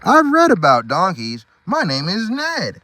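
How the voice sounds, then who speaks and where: Friendly storybook zebra, acted by a man: male, pitched high and swooping, eager, earnest, chatty. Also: wildly swooping pitch, a little slow, bright, forceful tone, no room sound, exaggerated voice. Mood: friendly, curious.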